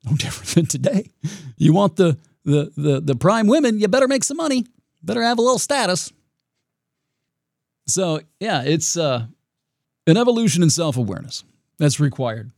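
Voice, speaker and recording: A man with a raspy voice hosting his own podcast, talking in a reflective, rambling way with frequent restarts. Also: a little high, very animated, moderate pace, long pauses, a little mellow, no echo, clean studio sound. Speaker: podcast host. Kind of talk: solo podcast monologue.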